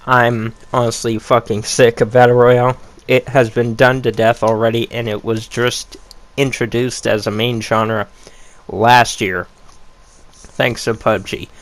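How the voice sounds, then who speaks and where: A young man ranking upcoming games in a casual vlog, blunt and rambling with a lot of swearing, his voice a little worn. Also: medium pitch. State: losing his voice. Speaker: gaming YouTuber.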